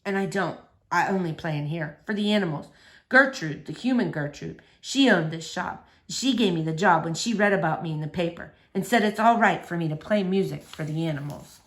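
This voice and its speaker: A woman voicing a lonely, gentle middle-aged man, a shy ex-convict guitarist who talks in a quiet, slow mumble. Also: fairly low pitch, voice pushed down, lightly acted. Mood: quiet confessing.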